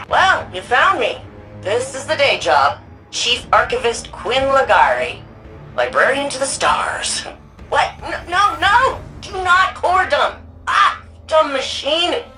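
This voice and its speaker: A woman's voice: fairly high pitch, animated, measured pace, sharp tone, faint music behind. An actress playing a quirky, eager young woman archivist in a video game, chatty and informal with a wry, geeky manner. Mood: exasperated. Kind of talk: video game character dialogue.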